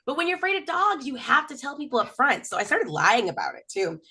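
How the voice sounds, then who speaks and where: A woman's voice: high-pitched, quick pace, husky. A woman from Texas doing a self-mocking stand-up comedy set on a video call. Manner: chatty, self-mocking, lively storytelling.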